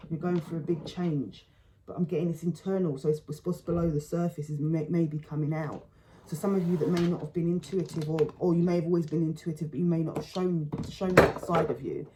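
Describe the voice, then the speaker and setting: A woman giving a relaxed spoken tarot reading, thinking aloud in halting phrases and often repeating words. She says her voice feels low today. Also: noisy background. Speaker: tarot reader.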